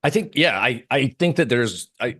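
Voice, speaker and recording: A man giving casual market commentary as an interview guest, explaining in halting phrases with frequent restarts. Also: mid-range voice, animated, a bit bright, slightly rough, no room sound, studio quality.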